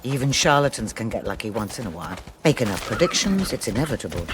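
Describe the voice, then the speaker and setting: An actor playing a wary, formal male companion who speaks in grave, measured warnings. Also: mid-range voice, sing-song, natural voice. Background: game score music.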